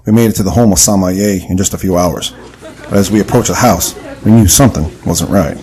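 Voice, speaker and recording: An actor playing an earnest, curious adventurer who also narrates, in a husky voice, for an audio adventure drama. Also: male voice, deep voice, fairly quick, mellow, natural voice, poor audio. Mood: storytelling.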